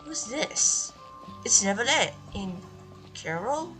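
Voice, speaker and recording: Female gaming host, unhurried delivery, reads game text aloud and comments, light music behind. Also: a little high, sing-song, sharp tone, low-quality recording. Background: faint game music.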